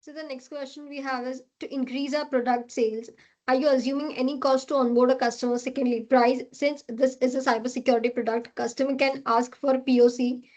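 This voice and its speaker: A woman's voice: high-pitched, little pitch movement, a little fast, darkish tone. A woman moderating a business call and reading out questions, heard over muffled call audio. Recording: dull, lo-fi online call audio.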